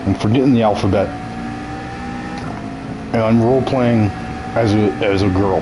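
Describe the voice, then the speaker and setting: A man's voice: lowish voice, steady tone, moderate pace, background noise. A young man chatting casually as a game streamer, nasal and ill, joking, laughing often and tripping over his words.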